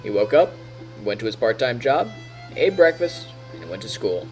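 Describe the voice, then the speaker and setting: A man's voice: on the low side, big pitch swings, moderate pace, darkish tone. A man streaming, reading narration from a visual novel aloud and adding casual comments. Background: game music.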